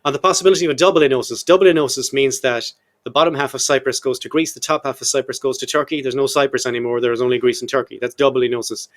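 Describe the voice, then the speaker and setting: 50ish guy hosting a history podcast, talks fast, cheeky, swears a bit, reads quotes and cuts in with jokes. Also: mid-range voice. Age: 50s. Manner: irreverent, jokey, swears casually; reads out long historical quotes with his own asides. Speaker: podcast host. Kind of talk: casual history podcast chat.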